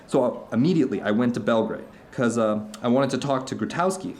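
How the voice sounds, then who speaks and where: Guy acting as middle-aged man telling long earnest stories over dinner, audio drama, fervent and rambling, medium pitch. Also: brisk, a little mellow, a little hiss or hum, close to an everyday voice. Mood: excited.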